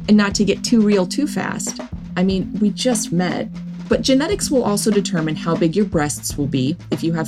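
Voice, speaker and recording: A woman hosting an educational podcast, reading a scripted narration in a gentle, reassuring manner. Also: mid-range voice. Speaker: podcast host. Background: background music.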